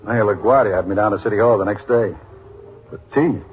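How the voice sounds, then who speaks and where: A man's voice: on the low side, a little fast, faint music behind, natural voice, low-quality recording. A man playing a seasoned, unassuming American police detective in an old radio drama, speaking in casual, colloquial phrases. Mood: casual storytelling. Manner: colloquial, casual anecdote telling. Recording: vintage radio drama recording.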